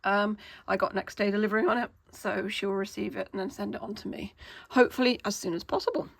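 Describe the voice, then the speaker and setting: A woman, hoarse from a cold, chatting casually as she unboxes toys. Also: medium pitch, fairly even intonation, fast talker, no echo. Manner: chatty, with frequent "um" fillers. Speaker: toy unboxing channel host.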